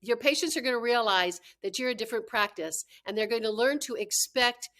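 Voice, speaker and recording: A woman's voice: fairly high pitch, fairly quick, fairly bright tone. A woman consultant giving instructional tips in an emphatic way, repeating key points for stress. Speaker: dental practice consultant and trainer. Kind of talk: instructional tips talk to camera.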